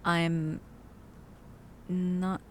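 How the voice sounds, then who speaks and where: A woman's voice: fairly low pitch, level tone, extremely slow, a little hiss or hum. A woman playing a chatty, cautious zombie-apocalypse survivor in an ASMR roleplay audio drama, talking to the listener in rambling, hesitant asides. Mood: wary, uneasy.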